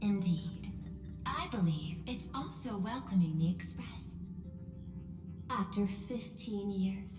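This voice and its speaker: A woman playing a poised, shrewd corporate executive in a video game, speaking in a formal, diplomatic, measured way. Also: medium pitch, animated. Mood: composed, welcoming. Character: poised, courteous, shrewd female corporate executive and planetary governor. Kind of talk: video game story voice acting. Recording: roomy long reverb, music behind.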